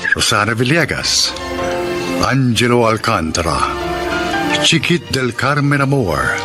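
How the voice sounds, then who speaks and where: A man reading a radio drama's credits in a formal, measured way. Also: mid-range voice.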